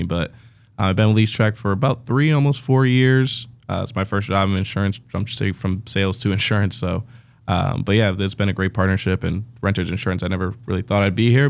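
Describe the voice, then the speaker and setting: A man's voice: sits low, vocal fry. A man as a podcast guest in a studio, talking in an upbeat, loose way. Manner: upbeat, loose, laughs easily. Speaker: partnership manager, guest. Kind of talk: podcast conversation. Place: recording studio.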